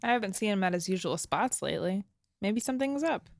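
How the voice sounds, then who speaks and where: A wistful young woman living off-grid played by an actress in a naturalistic audio drama. She speaks tentatively and thoughtfully at an average pitch, close up in a dry recording. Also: lively intonation, fast talker, vocal fry, dry recording. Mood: gentle, casual.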